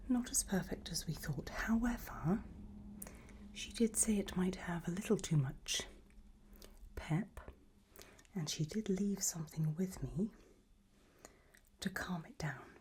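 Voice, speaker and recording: A woman playing an elegant, doting gothic lady hostess in a whispered ASMR roleplay, with a soft breath and gracious, languid manner. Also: lowish voice, expressive, slow, long pauses, slight background noise.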